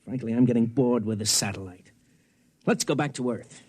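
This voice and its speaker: An actor as a jaded, sneering American man in a 1950s radio drama. His mid-pitched voice drips sarcasm and carries a threat. The sound is dry and close. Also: moderate pace, a little mellow, slightly rough, no room sound, close to an everyday voice. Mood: mocking, irritated. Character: cynical, mocking, jaded lover with a menacing edge.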